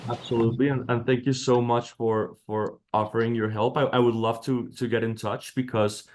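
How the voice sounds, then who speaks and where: A man's voice: medium pitch, flat delivery, moderate pace, darkish tone. A man presenter answering questions at an academic workshop, hesitant with many fillers and restarts.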